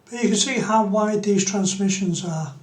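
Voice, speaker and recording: High-pitched man presenting a tutorial, roomy sound. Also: normal speed. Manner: explanatory, step-by-step. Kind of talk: unscripted screen-demo tutorial.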